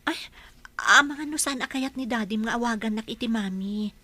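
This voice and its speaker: An actress playing a gentle, concerned young woman, a big-sister figure, in a radio drama. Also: fairly high pitch, moderate pace, no echo.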